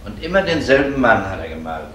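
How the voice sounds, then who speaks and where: Male detective, lowish voice, composed, courteous interrogator for a retro crime radio play; slightly noisy recording. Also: big pitch swings, a little fast, a bit bright. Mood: calm, businesslike. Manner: methodical, polite questioning.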